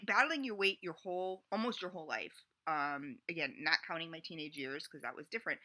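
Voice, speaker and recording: A woman's voice: mid-range voice, pushed and bright. A woman in her late forties talking in a rambling, chatty confessional way, full of fillers and restarts. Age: 40s. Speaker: vlogger. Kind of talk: casual confessional vlog.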